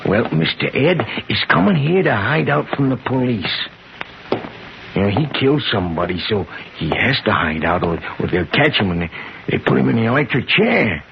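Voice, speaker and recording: A man's voice: mid-range voice, sing-song, normal speed, vocal fry. An American actor playing a simple, timid, devoted night watchman in a radio drama, speaking in a hesitant, childlike, plain way. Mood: fond, confiding. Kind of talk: 1950s radio drama acting. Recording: noisy, muffled vintage radio recording.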